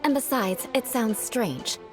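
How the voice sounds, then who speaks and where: A woman's voice: on the high side, lively intonation, a little slow. A woman playing a proud young noblewoman knight in a video game, speaking in a haughty, formal, theatrical manner. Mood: dismissive. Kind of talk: video game character acting. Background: music.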